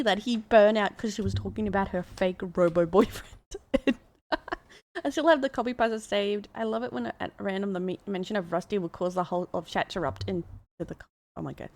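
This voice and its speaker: A woman's voice: medium pitch, no room sound. A woman with an Australian accent, chatting casually as a VTuber reacting to fan posts, laughing often and amused. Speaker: VTuber commentator.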